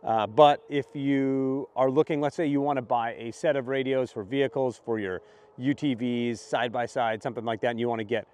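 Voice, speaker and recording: A man's voice: medium pitch, steady tone, normal speed, a little mellow, smooth. A man answering questions as a product expert, conversational and matter-of-fact, thinking aloud as he explains. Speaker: radio shop expert answering viewer questions. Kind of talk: informal Q&A explainer.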